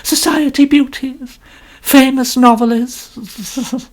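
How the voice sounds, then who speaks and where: Storybook figure: high, loud, theatrical lady novelist, complaining and self-important, put on by the narrator. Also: fairly even intonation, slow pace. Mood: gushing. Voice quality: complaining, melodramatic. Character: pompous, gushing older woman novelist.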